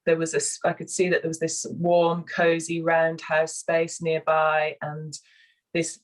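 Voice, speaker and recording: Slow, soft-spoken woman, croaky. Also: deep voice, completely flat delivery, poor audio. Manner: soft-spoken, hesitant with many fillers. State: hoarse, croaky. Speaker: co-facilitator. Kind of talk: guided relaxation and casual sharing. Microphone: video call.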